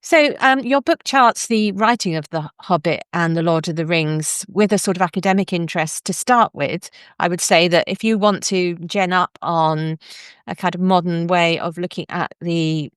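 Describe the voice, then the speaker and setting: A woman's voice: fairly low pitch, normal speed, clear, resonant tone, no room sound, clean studio sound. A woman hosting a conversational literary interview, articulate and discursive, explaining things for non-specialist listeners. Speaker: podcast host interviewing an academic.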